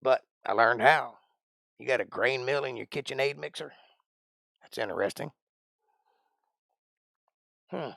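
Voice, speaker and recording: Streamer, man, 40s; gravelly, folksy rambler; dry close mic. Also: mid-range voice, a little slow, long pauses, a bit bright, dry recording. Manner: rambling, folksy, thinks aloud. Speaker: live stream host. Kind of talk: casual chat answering live chat.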